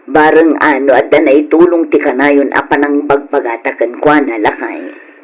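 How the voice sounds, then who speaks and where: Older scolding mom in an old radio play, low husky voice, exasperated but caring. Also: female voice, steady tone, normal speed. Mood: wry, resigned. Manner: admonishing, lamenting. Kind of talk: old radio drama acting.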